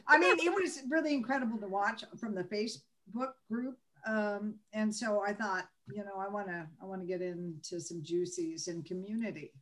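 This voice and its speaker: A woman's voice: medium pitch, low-quality recording. Earnest woman in her sixties. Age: 60s. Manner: earnest, a bit halting, laughs at herself. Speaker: panel guest. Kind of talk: casual panel chat.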